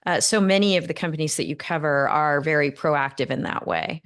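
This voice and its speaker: A woman's voice: low-pitched, fairly quick, clear, resonant tone. A middle-aged woman from Northern California hosting an interview, talking in long rambling turns full of fillers and restarts. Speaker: podcast host. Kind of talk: interview conversation.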